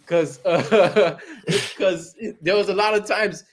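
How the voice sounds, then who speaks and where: A young man with a Haitian accent co-hosts a casual football podcast, speaking a little high for a man. He gets animated, laughs and talks over his friend as he argues his points. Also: slow pace. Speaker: podcast co-host.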